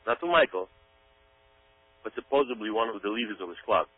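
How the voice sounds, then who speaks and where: A man's voice: fairly low pitch, expressive, a little fast, no echo. Man on a tinny phone line, emphatic commentary. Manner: emphatic, folksy, addressing listeners directly. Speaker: commentator giving his opinion. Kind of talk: informal monologue commentary.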